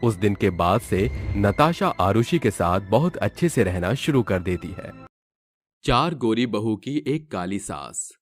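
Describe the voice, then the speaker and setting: A man's voice: lowish voice, expressive, unhurried, soft background music. A man narrating a scripted cartoon story as the storyteller.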